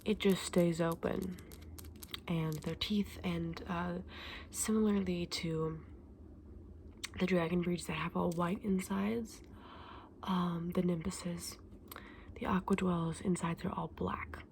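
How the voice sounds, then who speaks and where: A woman acts out a kindly fantasy shopkeeper in a whispered ASMR roleplay. Her voice is low and soft, with a crackly fry at the edges. She chats gently and without hurry to her customer, over a little background noise. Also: fairly even intonation. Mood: soft, informative. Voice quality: soft, breathy whisper mixed with low soft speech. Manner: gentle, unhurried, hesitant, endearing customer address. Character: kindly, chatty saleswoman in a dragon egg shop.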